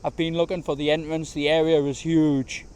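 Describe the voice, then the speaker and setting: Hoarse, chatty man outdoors. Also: on the high side, flat delivery, moderate pace, darkish tone. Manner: chatty, slangy, easygoing. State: slightly hoarse from shouting. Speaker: travel vlogger. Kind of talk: casual walking vlog narration. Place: outdoors in large city gardens, walking in heat.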